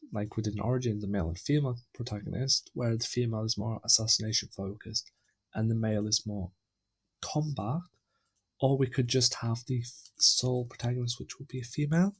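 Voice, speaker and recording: A husky-toned man from England chats on a home podcast mic, tired and rambling. His voice sits at an ordinary middle pitch, and he jumps between ideas with a self-mocking humor. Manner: rambling, animated, self-deprecating. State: tired from lack of sleep. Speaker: podcast co-host. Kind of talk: casual podcast chat.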